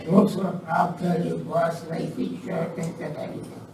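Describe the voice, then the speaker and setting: A man's voice: a little high, flat delivery, normal speed, natural voice. An amateur actor playing a ruthless, bumbling outlaw henchman in a live radio western. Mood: menacing, conspiring. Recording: echoey room, distant, noisy.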